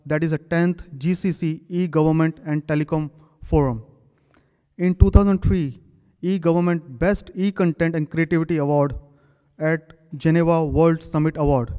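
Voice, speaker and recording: A man's voice: on the high side, mellow, clean tone. A man hosting a technical talk, formally reading out the guest speaker's credentials in short phrases at a podium microphone. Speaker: event host introducing the speaker. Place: large conference hall. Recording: long hall reverb.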